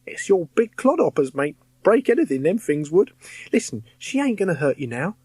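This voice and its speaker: A man's voice: sits high, sing-song, normal speed, darkish tone, husky, no room sound, pitched above the performer's own voice, exaggerated voice. A man doing a cheeky ghost boy. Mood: chummy. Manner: chummy, slangy, reassuring.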